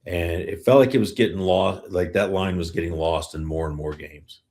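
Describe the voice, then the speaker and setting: Man, deep pitch, North American accent, sports podcast host, casual unscripted discussion. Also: steady tone, normal speed, darkish tone.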